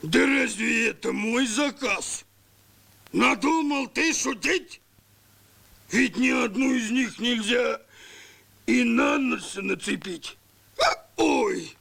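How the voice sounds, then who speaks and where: A man's voice: very high voice, slow, vocal fry, long pauses, sharp tone, exaggerated voice. A man voicing a greedy, fat rich man, pompous and wheedling, in a verse cartoon. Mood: indignant. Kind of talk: 1980s Soviet cartoon voice acting in verse.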